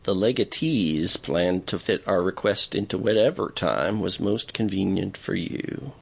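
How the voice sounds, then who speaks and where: An actor playing an aging, scrawny, deferential man in an audiobook, with a raspy, creaking voice and measured, courteous speech. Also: low voice, heavily acted. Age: older. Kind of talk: audiobook character acting.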